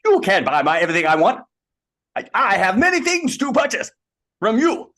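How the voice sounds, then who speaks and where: A man's voice: sits high, very animated, normal speed, bright, forceful tone, pitched above the performer's own voice, exaggerated voice. A man voicing a boastful kung fu master with an exaggerated put-on accent and broken speech.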